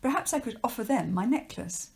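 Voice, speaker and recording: A woman's voice: on the high side, animated, brisk, creaky, darkish tone, pitched above the performer's own voice. A woman reading a storybook, voicing a stoical governess in a dry, matter-of-fact, expressionless manner. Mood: dry, deadpan.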